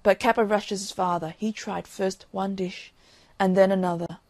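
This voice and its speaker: A woman reading a fairy tale in an expressive, old-fashioned storybook narration. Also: medium pitch, measured pace, no echo.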